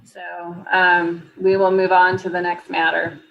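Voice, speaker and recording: A woman's voice: fairly low pitch, completely flat delivery, unhurried, vocal fry, lo-fi sound, a little hiss or hum. A woman working as a board secretary, calling roll and explaining procedure in a matter-of-fact way at a public meeting.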